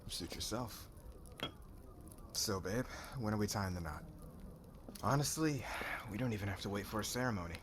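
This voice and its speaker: A man's voice: on the low side, expressive, moderate pace, pauses often, background noise, close to an everyday voice. A man playing a cocky, flirtatious warrior commander in an ASMR roleplay, with a brash, teasing way of talking. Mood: smug, flirtatious. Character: cocky, flirtatious warrior commander, crude suitor turning contrite.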